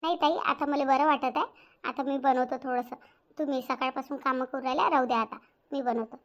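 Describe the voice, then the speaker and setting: A woman's voice: sits high, fairly even intonation, fairly quick, muffled recording. A woman voicing an outspoken, grumbling young daughter-in-law in a Marathi cartoon, with a rural Varhadi accent. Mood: gently insistent. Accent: rural Maharashtra, Varhadi Marathi. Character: indignant young village daughter-in-law, outspoken, complaining, caring. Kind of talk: domestic cartoon story dialogue.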